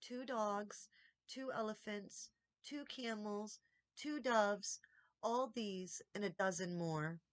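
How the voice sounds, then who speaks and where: A woman reading a children's story aloud as expressive audiobook narration. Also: mid-range voice, slow pace, dry recording. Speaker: audiobook reader.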